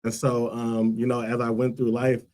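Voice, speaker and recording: A man's voice: medium pitch, monotone, normal speed, crowd noise. Talk show guest: a man from Liberia who speaks earnestly and thoughtfully, using plenty of "like" and "um". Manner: earnest, thoughtful, many fillers like "like" and "um". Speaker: guest, school co-founder. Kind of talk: casual interview answers.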